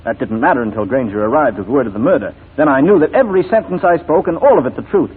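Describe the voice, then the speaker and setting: Sharp urgent gentleman in an old radio play, quick, husky, tinny narrow sound. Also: mid-range voice, lively intonation. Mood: earnest explaining. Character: clever, intense titled gentleman, wrongly suspected, coolly persuasive. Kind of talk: 1940s radio drama acting. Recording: thin, phone-like 1940s radio recording.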